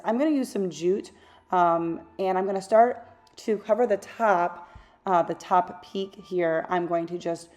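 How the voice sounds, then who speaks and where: A woman hosting a craft channel, talking through a tutorial in a casual, rambling voice-over; her voice is a little raspy from losing it. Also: fairly low pitch, dark tone. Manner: chatty, rambling, self-correcting asides.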